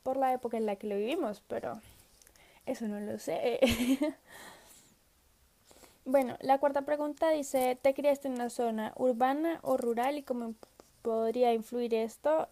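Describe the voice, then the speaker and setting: A woman's voice: on the high side, mellow. A seventeen-year-old girl who is unwell, answering study questions in a casual video message, often hesitating, trailing off and laughing. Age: teens. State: sick.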